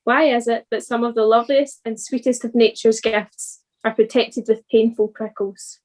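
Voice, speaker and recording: Higher-voiced woman reading a devotional. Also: steady tone, moderate pace, a little mellow. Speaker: church devotional reader.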